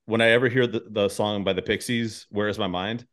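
Low-voiced male podcast host, rambling and goofy. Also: fairly even intonation, a little fast, a bit bright. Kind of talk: casual, joking conversation.

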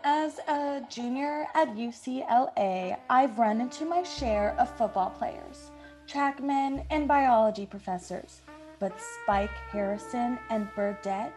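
A woman's voice: a little high, slow, a little mellow, faint music behind. A woman playing an earnest, practical young college student in a radio play. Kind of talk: classic radio play acting.

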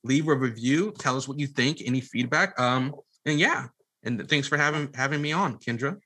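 A man with a bright, forward voice speaks as a guest in an interview. His delivery is casual and earnest, like someone sharing advice informally. The pitch sits in an ordinary male range. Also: moderate pace. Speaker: podcast guest, media company CEO.

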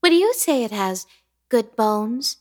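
A woman's voice: on the high side, big pitch swings, darkish tone. An actress playing an unsettling, grandly affected young woman landlady in a horror comedy radio play. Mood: insistent. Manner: lilting, grandly affected, drawn-out words. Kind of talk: radio drama acting.

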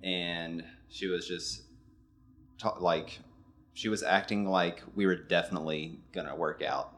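Male co-host, very low voice, loose rambling storyteller. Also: fairly even intonation, measured pace, a bit bright, slight background noise. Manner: rambling, laid-back storytelling. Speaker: podcast co-host. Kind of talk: casual storytelling chat.

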